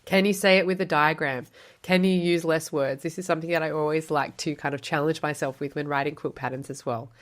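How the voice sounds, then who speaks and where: A woman's voice: low voice, fairly even intonation, brisk. An Australian woman hosting a podcast, explaining quilt pattern writing in a chatty, encouraging way with lots of rhetorical questions. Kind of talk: solo podcast teaching.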